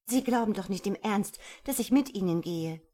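A woman's voice: medium pitch, animated, moderate pace, mellow, pitched above the performer's own voice, natural voice. A woman voicing a defiant, indignant woman protesting emphatically and with agitation in a romance audiobook. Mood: defiant, frightened. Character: defiant, indignant young woman resisting a captor. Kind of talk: romance audiobook character dialogue.

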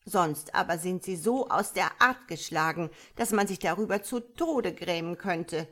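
A woman doing a gloomy, croaking frog. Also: on the low side, a little slow, a bit bright. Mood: glum, complaining. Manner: croaky, grumbling, interjects quacks. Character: gloomy, touchy big brown male frog. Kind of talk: storybook character voice.

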